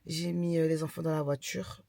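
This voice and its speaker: A woman's voice: low-pitched, flat delivery, gravelly, mellow, no echo, clean studio sound. Young woman vlogger with a stammer. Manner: halting, repeats and restarts words. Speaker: lifestyle vlogger, mother of young children. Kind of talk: casual chat to camera.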